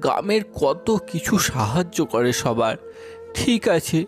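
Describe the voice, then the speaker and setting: A man voicing a kindly village vegetable seller and father in a cartoon, animated and concerned. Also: on the high side, unhurried, soft background music. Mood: protective, resigned. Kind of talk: Bengali moral-story cartoon acting.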